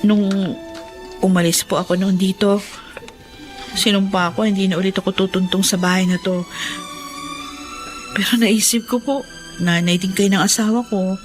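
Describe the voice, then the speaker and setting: A woman's voice: mid-range voice, steady tone, moderate pace, pauses often, close to an everyday voice. Young Filipino wife and mother played by an actress, sincere and respectful radio drama delivery over music. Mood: earnest, hesitant. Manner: earnest, respectful. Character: forgiving young wife, mother and daughter-in-law.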